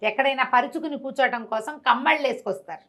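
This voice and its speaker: A woman storyteller telling a devotional tale in an animated way, acting out the characters' voices as she goes. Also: high-pitched, quick pace.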